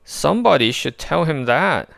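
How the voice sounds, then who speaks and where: A man's voice: a little high, big pitch swings, moderate pace, creaky, fairly bright tone, dry recording, clean studio sound, pitched above the performer's own voice, heavily acted. A man voicing a small, wisecracking, impatient raccoon with a sarcastic, punchy delivery in a storybook.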